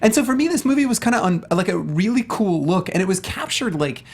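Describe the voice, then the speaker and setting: A man's voice: sits high, very animated, fast, breathy edge. A man co-hosting a casual film discussion show, speaking with emphatic, earnest enthusiasm. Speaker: talk show co-host.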